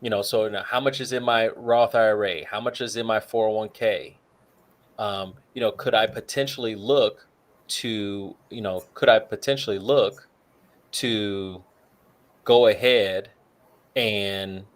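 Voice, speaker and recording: A man's voice: on the low side, fairly even intonation, a little slow. A man co-hosting a talk show, casually walking through retirement numbers with plenty of "you know" fillers. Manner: works through figures aloud, many fillers. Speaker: co-host.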